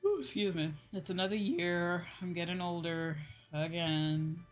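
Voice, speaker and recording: A young adult woman in a casual livestream chat, talking as a streamer to viewers in an animated, exclamatory way with acted-out asides. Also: lowish voice, a little slow. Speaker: VTuber streamer chatting with viewers. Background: background music.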